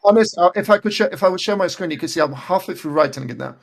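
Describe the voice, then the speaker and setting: Wry, halting man with a gravelly voice. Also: high-pitched, expressive. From Iran. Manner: halting, repeats words, wry. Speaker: debate participant. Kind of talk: online debate chat.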